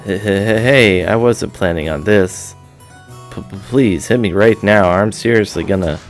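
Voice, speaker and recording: A man's voice: on the low side, moderate pace, soft background music, close to an everyday voice. A man voicing a brash, lecherous, joking young man in a visual novel read-aloud. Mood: flustered.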